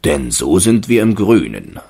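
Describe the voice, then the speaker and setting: A man with a very deep, husky voice voicing a small, plump, friendly male storyteller in a storybook reading. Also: very animated, a little slow, mellow, exaggerated voice. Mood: cozy. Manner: cozy, storytelling.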